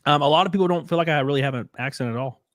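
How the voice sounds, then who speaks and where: A man's voice: on the high side, racing, no echo, studio quality. A man hosting a casual livestream, crude, joking and teasing. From Southern US. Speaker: streamer host.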